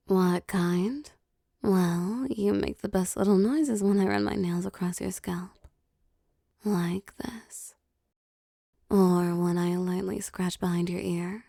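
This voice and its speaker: A woman playing a possessive, doting, wealthy vampire boss in intimate roleplay audio, soft and teasing, often slipping into whispers. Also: mid-range voice, creaky, dry recording, studio quality. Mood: doting, playful. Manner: slow, teasing, pampering, softly commanding.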